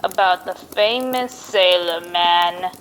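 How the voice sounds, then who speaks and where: A woman's voice: on the low side, slow pace, a bit bright, slight background noise, low-quality recording. A woman playing a sly, hundred-year-old witch who tells spooky tales in folksy rural dialect, in old-time radio style. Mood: sly, gleeful. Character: cackling ancient witch, rustic storyteller, aged over a hundred. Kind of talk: old-time radio horror host acting.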